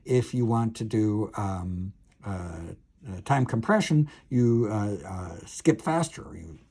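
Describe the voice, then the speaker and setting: A man's voice: fairly low pitch, animated, slow pace, mellow. A man lecturing as a professor on a technical subject, explaining step by step in a hesitant, thinking-aloud way with self-corrections.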